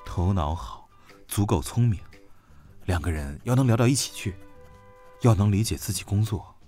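Guy playing blunt young army officer in an audio drama, lowish voice, pretty animated, casual and naive. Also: normal speed, lightly acted. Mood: matter-of-fact. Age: young adult. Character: blunt, naive young male military major general, sci-fi world. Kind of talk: Chinese audio drama acting. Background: music.

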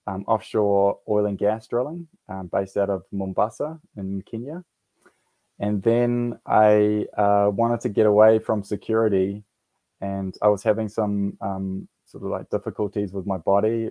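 A man's voice: lowish voice, dark tone, dry recording. A man with a New Zealand accent, a guest in his forties telling his story on a radio talk show, hesitant with many fillers and restarts. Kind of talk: casual interview.

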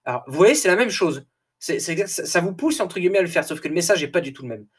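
A man talks straight to his podcast listeners in a loose, animated monologue, with a slightly raised voice. He laughs often, stumbles over words and restarts them, and sounds a little tired. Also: sits high, quick pace, breathy edge. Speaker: podcast host. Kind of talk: casual motivational podcast monologue.

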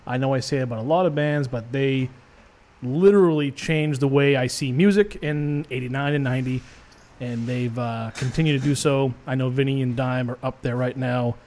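A man's voice: mid-range voice, moderate pace, darkish tone. Joking male rock radio host. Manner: rambling, joking, laughs often. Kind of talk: casual on-air banter. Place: radio studio.

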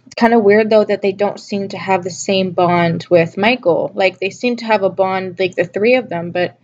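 A woman's voice: mid-range voice, normal speed, a little mellow, lo-fi sound. A woman hosting a solo review podcast, talking casually while sick and losing her voice, with a scratchy voice and musing, self-questioning delivery. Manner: musing, asks listeners questions, many restarts. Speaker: podcast host reviewing a TV episode.

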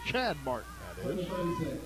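Casting note: high-pitched male play-by-play announcer, brisk game calling, local radio broadcast. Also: big pitch swings, crowd noise.

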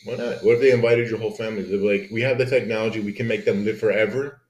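Echoey, low-voiced man bantering crudely. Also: fairly even intonation, very fast, darkish tone, lo-fi sound. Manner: crude, teasing, questioning. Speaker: podcast co-host. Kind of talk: casual banter. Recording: echoey room sound.

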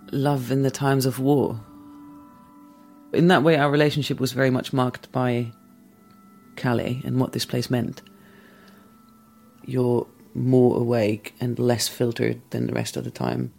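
A man calmly and candidly recounting a past relationship in a thoughtful, measured way. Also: medium pitch, creaky, soft background music. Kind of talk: reflective personal storytelling.

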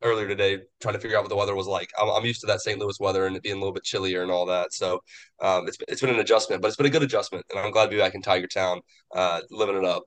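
A man's voice: low voice, steady tone, very fast. A man co-hosting a sports podcast, a bit nasal after a cold, rambling casually. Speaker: podcast co-host.

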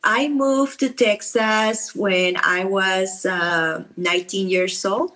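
A woman from Peru with a strong Peruvian Spanish accent, a podcast guest speaking from home, halting and searching for words. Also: mid-range voice, fairly even intonation, fairly bright tone. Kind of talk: interview conversation.

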